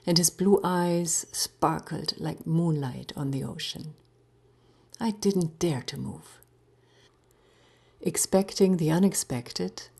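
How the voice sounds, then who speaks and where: Low-voiced woman storyteller, slow and mellow. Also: slightly rough. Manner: deliberate, theatrical, breaking into hushed phrases. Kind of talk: dramatic first-person story narration.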